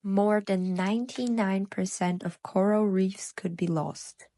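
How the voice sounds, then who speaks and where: A young woman from Brazil, in her twenties, co-hosting a podcast and reading a prepared script in a steady way. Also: medium pitch, measured pace. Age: 20s. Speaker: podcast co-host.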